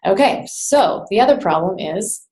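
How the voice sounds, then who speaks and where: A woman's voice: medium pitch, lively intonation, moderate pace, a little mellow. A woman giving an informal training presentation in a conversational way, addressing listeners directly and checking in with them. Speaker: sales trainer. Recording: roomy, echoey sound.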